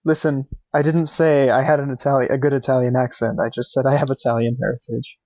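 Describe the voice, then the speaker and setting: A man co-hosting a casual podcast, relaxed and chatty, laughing often and trailing off mid-sentence. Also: medium pitch, fairly quick, no room sound, highs cut off. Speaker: podcast co-host. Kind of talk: casual podcast chat critiquing fan characters.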